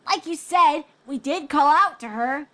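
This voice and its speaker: A boisterous young pegasus filly put on by a woman talks in a high, youthful voice. She is brash and cheeky, always ready to tease, in a cartoon storybook style. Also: measured pace, pushed and bright. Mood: defensive. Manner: brash, teasing.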